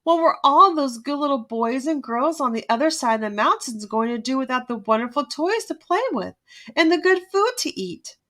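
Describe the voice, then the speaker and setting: A woman reading a children's story aloud with expressive, emphatic narration. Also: high voice, moderate pace.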